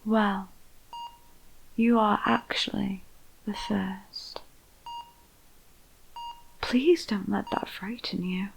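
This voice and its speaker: A woman playing a clinical female vampire scientist in an ASMR roleplay, with a whispery, breathy voice and deliberate delivery. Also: medium pitch, fairly even intonation, a little hiss or hum, low-quality recording. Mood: soothing, sinister. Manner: slow, soothing, deliberate. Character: calm, clinical female vampire scientist overseeing a sinister experiment.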